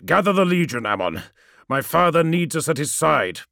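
A man's voice: a little high, big pitch swings, normal speed, gravelly, bright, forceful tone, dry recording, voice pushed up, heavily acted. A towering male demigod warlord, imperious and broken by anguish. His raised voice swings widely as he declaims, recorded dry and close. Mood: imperious fury.

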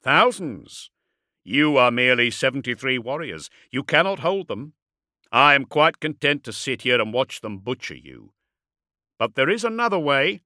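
A man's voice: medium pitch, very animated, moderate pace, sharp tone, dry recording, studio quality. A man voicing an ancient villainous warsmith in an audiobook, mocking, cunning and defiant, with articulate, projected taunts. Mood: goading. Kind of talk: audiobook character acting.

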